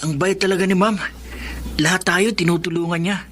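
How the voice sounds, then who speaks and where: A woman's voice: lowish voice, steady tone, a little fast, natural voice. A woman playing a humble, grateful young student boy in a Filipino radio drama. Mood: curious. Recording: faint background noise.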